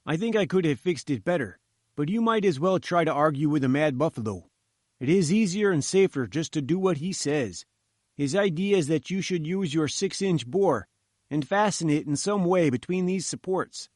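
A man's voice: a little high, expressive, normal speed, darkish tone, no room sound, clean studio sound. A man voicing a gloomy, nervous chief engineer in an audiobook story, with an uneasy, emphatic manner. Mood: resigned, grumbling. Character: nervous, lugubrious chief engineer, wary and stubborn. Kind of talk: audiobook character dialogue.

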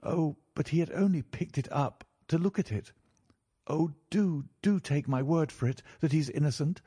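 A man voicing an earnest, gentle young lady with a polite, pleading manner in a storybook reading. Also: fairly high pitch, moderate pace, breathy edge, no room sound, studio quality, voice pushed up.

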